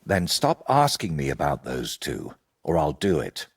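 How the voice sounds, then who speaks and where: A man playing an evasive, eccentric wandering painter in a medieval video game, with a husky, rough-edged voice and a theatrical, riddling way of talking. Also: extremely low pitch, wildly swooping pitch, measured pace, heavily acted. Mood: teasing, guarded. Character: evasive eccentric male wandering painter, wry and world-weary.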